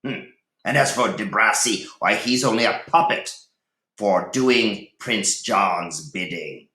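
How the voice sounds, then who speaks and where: A storybook Saxon lord, proud and disdainful, voiced by a man reading aloud. His pitch is pushed up into declamation, with a grudging dignity. The room rings around him. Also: takes it slowly, pushed and bright, roomy sound, full character voice. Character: gruff, proud Saxon lord, disdainful yet dutifully hospitable.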